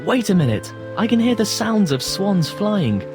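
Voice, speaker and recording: A man voicing a young, polite, sad duckling in a children's storybook, with earnest, simple, expressive delivery. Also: high voice, normal speed, music in the background. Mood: hopeful. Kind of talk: children's storybook app voice acting.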